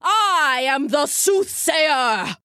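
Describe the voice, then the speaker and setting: A woman voicing a pompous, theatrical female soothsayer who intones grand prophecies in a booming stage voice. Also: lively intonation, extremely slow, sharp tone, no echo, studio quality, full character voice.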